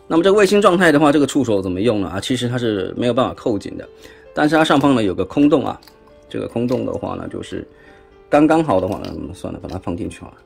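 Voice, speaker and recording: Taiwanese guy doing a chatty toy unboxing, husky and gravelly, asks little questions as he goes. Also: medium pitch, darkish tone. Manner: chatty, punctuated with particles and rhetorical questions. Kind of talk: casual hands-on product review commentary. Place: indoors at a tabletop.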